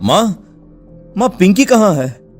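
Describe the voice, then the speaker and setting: A man's voice: high-pitched, very animated, slow, soft background music, heavily acted. A man voicing a distraught village father in a cartoon story, anguished and pleading. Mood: alarmed. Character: distraught village father searching for his daughter.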